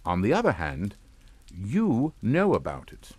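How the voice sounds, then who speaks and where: An actor playing a politely menacing upper-class English father in a radio suspense drama, speaking in a clipped, formal, deliberate way. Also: lowish voice, wildly swooping pitch, a little mellow, slightly rough, dry recording, heavily acted. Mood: cold calculation. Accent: British.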